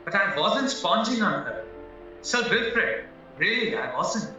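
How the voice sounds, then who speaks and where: A man acts out a young murder suspect in an audio drama, frank but flustered, hesitating and protesting earnestly. His high voice swings animatedly. It sounds distant and very echoey, with music behind. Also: sing-song, takes it slowly, pushed and bright, dull audio, exaggerated voice. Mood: defensive, pleading. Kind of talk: stage-style courtroom audio drama acting.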